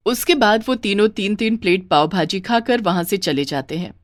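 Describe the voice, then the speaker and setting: A woman's voice: medium pitch, lively intonation, normal speed, dry recording. Woman narrator, dry close storytelling voice.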